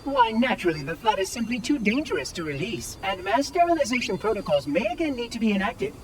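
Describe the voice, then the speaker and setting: An actor voicing a prim, fussy ancient machine caretaker in a video game cutscene, speaking in a polite, precise and formal way. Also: male voice, extremely high pitch, very animated, fast talker, noisy background, full character voice. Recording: distant voice over loud music.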